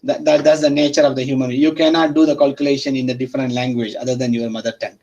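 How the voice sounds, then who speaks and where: A man's voice: mid-range voice, level tone, rapid-fire, darkish tone, muffled recording. A male university lecturer who speaks English as a second language, teaching interactively with repeated phrases and frequent checking questions to students. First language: not Arabic or English.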